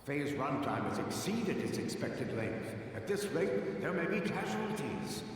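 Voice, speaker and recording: A man's voice: fairly high pitch, very animated, measured pace. A man voicing a cold, detached official in an anime fantasy game, in a heavily reverberant, distant recording. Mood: cold, grave. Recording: very echoey hall, distant, faint noise.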